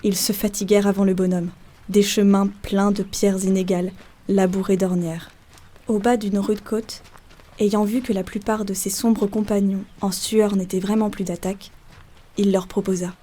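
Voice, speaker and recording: A woman's voice: mid-range voice, level tone, normal speed, warm tone. A woman narrating a literary folk tale as a scripted storyteller.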